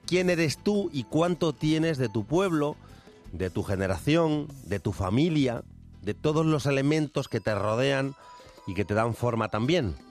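A man's voice: mid-range voice, lively intonation, a little slow, a little mellow, faint music behind. A man from Extremadura with a Spanish accent, hosting radio with a reflective monologue and chat. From Extremadura, Spain. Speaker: radio host.

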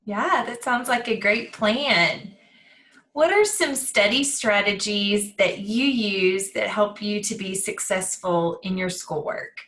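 A woman's voice: mid-range voice, slow, fairly bright tone. A woman hosting an interview podcast, introducing a guest and asking upbeat, encouraging questions. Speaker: podcast host.

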